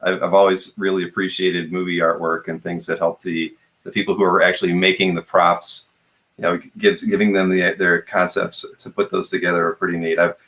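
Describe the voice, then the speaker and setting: A man hosting a casual live interview, agreeable and a little halting as he talks. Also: deep voice, little pitch movement, a bit bright. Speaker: interview host. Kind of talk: casual live-stream chat.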